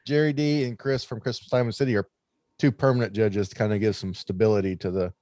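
A man's voice: lowish voice, steady tone, quick pace, darkish tone, no room sound, clean studio sound. A man chatting casually as a panelist on a group podcast.

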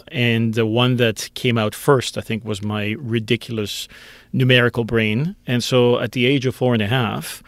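A man's voice: mid-range voice, dry recording. A man in his fifties from Greece, answering interview questions about his life in a candid, self-deprecating way. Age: 50s. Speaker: author guest.

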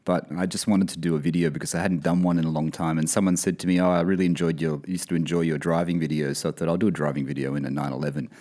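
A man hosting a casual podcast, talking in a relaxed, rambling way with many fillers, his voice a bit croaky from a cold. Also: low-pitched, very fast, few pauses. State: croaky, coming down with something. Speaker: podcast host. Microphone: podcast mic over video call.

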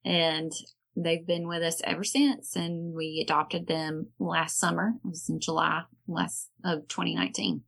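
A woman's voice: lowish voice, flat delivery, measured pace, creaky, fairly bright tone, breathy edge. A woman from Alabama with a Southern American accent, chatting casually as a podcast guest. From Alabama, United States. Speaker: podcast guest, foster and adoptive mother. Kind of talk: casual chat.

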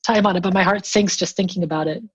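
A woman's voice: fairly low pitch, quick pace, slightly rough, dry recording. Chatty woman, slightly dull close recording. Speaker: interview guest; remote collaboration workshop teacher. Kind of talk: casual conversational interview.

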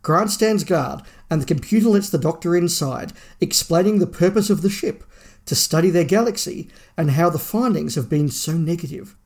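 Male co-host for podcast plot recaps. Somewhat high-pitched voice, reading from a script. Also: expressive, normal speed, a little mellow. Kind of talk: scripted plot summary narration.

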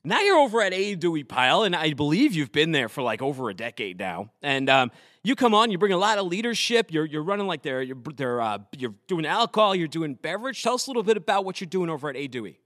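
A man from Massachusetts, hosting a podcast in an animated, jokey, casual way. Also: on the high side, no echo, studio quality. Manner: animated and jokey, fast filler-laden talk. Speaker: podcast host. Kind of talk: casual chat.

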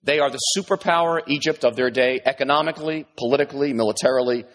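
A man's voice: mid-range voice, fast, fairly bright tone. A male pastor giving a sermon in a conversational way, often restarting his sentences and adding asides.

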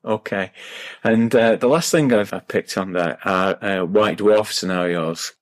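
A man's voice: fairly low pitch, unhurried. A man interviewing a guest in a casual, chatty way.

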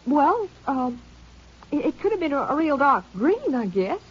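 A woman's voice: sits high, big pitch swings, moderate pace. An actress playing a nervous young woman witness who stammers and hedges in a 1950s radio drama. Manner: hesitant, stammering. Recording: dull old radio broadcast.

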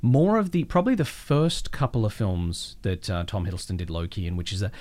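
A man's voice: lowish voice, very animated, brisk, a little mellow. Man chatting casually in an interview. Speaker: guest, cartoon voice actor.

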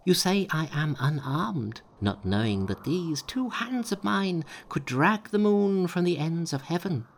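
Storybook monkey king, brash and boastful, high and lively, read by a man. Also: a little slow, few pauses, heavily acted. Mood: defiant. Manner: boastful, taunting. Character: cocky male monkey king, small and brash. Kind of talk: storybook reading.

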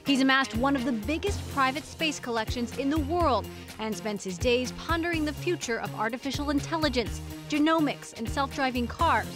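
A woman hosts a broadcast interview, her voice pitched a little high as she asks crisp, polished questions. Faint music sits under her. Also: normal speed. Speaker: interview host.

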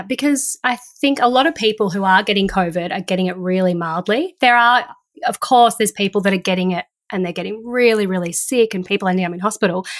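This is a woman co-hosting a chatty podcast while sick. Her voice is croaky and congested from a head cold. She talks casually and pokes fun at herself, at an ordinary mid pitch for a woman. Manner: chatty, self-deprecating, laughs at herself. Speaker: podcast host. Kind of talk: casual chat. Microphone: remote recording.

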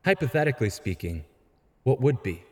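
An actor playing a frank, principled younger vigilante in an audio drama, earnest and articulate. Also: male voice, medium pitch, very animated, a little fast, darkish tone, lightly acted. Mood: earnest argument. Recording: long hall reverb.

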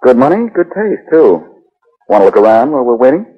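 An actor playing a glib, wisecracking, flirtatious private detective in 1940s radio style. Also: male voice, medium pitch, very animated, a little fast, breathy edge. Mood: wry, wary. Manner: glib, teasing banter. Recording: muffled, lo-fi 1940s radio recording.

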